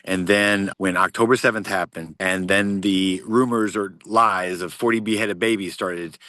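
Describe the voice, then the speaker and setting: A man's voice: low-pitched, steady tone, moderate pace, bright, forceful tone, no room sound. A man telling his story in an interview, earnest and heartfelt, often repeating words as he searches for them. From United States. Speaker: interview guest, military veteran and firefighter. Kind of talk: earnest personal account in conversation.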